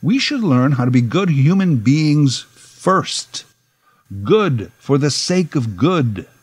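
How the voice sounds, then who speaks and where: A man's voice: on the high side, sing-song, slow, breathy edge, dry recording. A middle-aged man, giving a sardonic, opinionated podcast monologue. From Canada. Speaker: podcast host.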